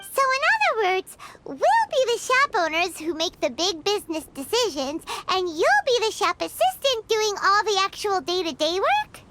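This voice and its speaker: A woman's voice: very high-pitched, very animated, moderate pace, noisy background. A woman voicing a small, childlike floating girl sidekick in a video game, chirpy, eager and emphatic. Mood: questioning, bossy. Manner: chirpy, emphatic, refers to herself in third person. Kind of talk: video game cutscene acting. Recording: prominent game music behind.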